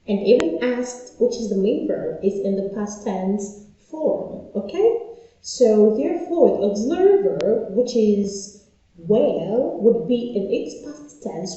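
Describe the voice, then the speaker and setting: A woman teaching an English lesson, talking slowly and playfully, restarting and repeating phrases as she explains. Her voice is dark and mellow yet sits a little high. The sound is very echoey and slightly dull. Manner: chatty, self-interrupting, repeats phrases. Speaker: online English tutor.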